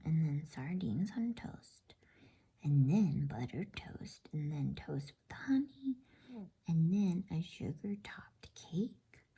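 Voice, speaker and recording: Female ASMR storyteller: dark, hushed, breathy whisper, gentle and unhurried bedtime read. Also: lowish voice. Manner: slow, gentle, with brief informal asides. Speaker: storyteller reading a children's fantasy novel aloud.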